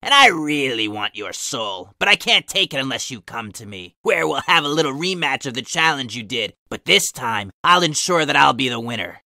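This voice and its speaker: A man voicing a menacing, gloating demon hedgehog in a slow, threatening manner for a fan fiction reading. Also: mid-range voice, sing-song, bright, forceful tone, dry recording, studio quality, pitched below the performer's own voice, full character voice.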